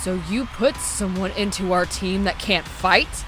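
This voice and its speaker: A woman's voice: medium pitch, unhurried, over music, close to an everyday voice, rough recording. Woman as angry, confrontational clan teammate. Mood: incredulous anger. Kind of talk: animated visual novel acting.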